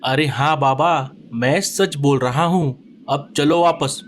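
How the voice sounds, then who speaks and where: A man's voice: fairly high pitch, takes it slowly, slight background noise. A man voicing an easygoing, lazy male sparrow husband in a children's cartoon, grumbling and pleading. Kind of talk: cartoon voice acting.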